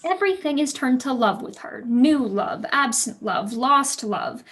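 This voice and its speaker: Casting: woman to play headstrong teenage girl pupil in period drama, highish voice, eloquent, eager, loves an argument. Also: expressive, a little slow, a bit bright, full character voice. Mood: impassioned. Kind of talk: staged reading of a period play.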